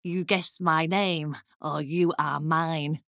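A woman's voice: deep voice, fairly even intonation, takes it slowly, gravelly, fairly bright tone, dry recording, studio quality, voice pushed down, full character voice. A woman voicing a small, hairy male imp from a storybook, sly and bluntly insistent in a terse way of talking. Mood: sly, menacing. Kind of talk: storybook character voice.

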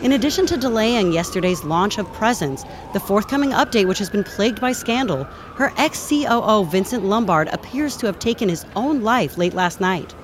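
An actress plays a crisp female field reporter in an audio drama. She delivers live news in a measured, formal way at medium pitch, with clear street noise and sirens behind her. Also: expressive, natural voice. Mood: composed, formal.

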